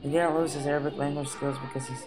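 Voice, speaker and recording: A man's voice: a little high, fast talker, over music. Teenage boy reading a school essay. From United States. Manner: steady, plain read-aloud delivery. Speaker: student.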